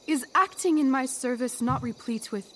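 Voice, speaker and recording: A woman's voice: high-pitched, a little hiss or hum, close to an everyday voice. A woman voicing a teenage girl in a video game who recites Shakespeare on stage as an imperious sorceress. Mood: earnest, pleading. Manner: imperious, deliberate archaic delivery. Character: teenage girl playing a commanding sorceress in a school play.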